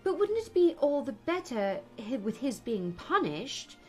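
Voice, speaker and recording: Storybook young girl, voiced by a woman: polite, puzzled, questioning, highish and lively. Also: a little slow, faint music behind. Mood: reasoning. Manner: questioning, earnest. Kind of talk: storybook character voice.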